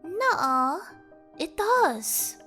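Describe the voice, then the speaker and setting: A woman voicing an excitable, stubborn little sister who protests in a childish, insistent way. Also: very high-pitched, extremely slow, soft background music, voice pushed up, exaggerated voice. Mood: stubborn, puzzled.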